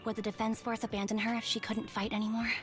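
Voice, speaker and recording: An earnest, caring young woman from a video game, played by a woman. Her voice is on the high side, with soft music underneath. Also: level tone, quick pace, close to an everyday voice.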